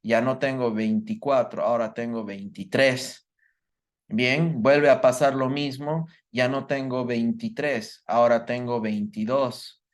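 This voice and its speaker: A man's voice: mid-range voice, fairly even intonation, measured pace. A man giving a medical lecture, explaining step by step and checking that his students follow. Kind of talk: live online workshop lecture.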